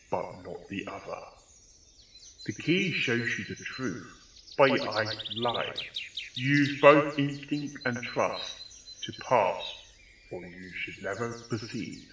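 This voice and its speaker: Man voicing an echoey, careful pony adventurer. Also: on the low side. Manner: measured, deliberate, polite. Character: earnest, cautious male pony adventurer solving riddles. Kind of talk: storybook character voice. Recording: echoey, noisy, distant-sounding.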